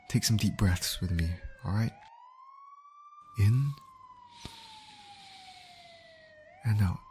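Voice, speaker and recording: Low-voiced caring male medic, played by a man. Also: a little mellow, a little hiss or hum. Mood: urgent, encouraging. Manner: reassuring, talking directly to an injured patient. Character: male field medic, calm, caring, urgent under pressure. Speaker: medic in a roleplay audio. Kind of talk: ASMR roleplay voice acting.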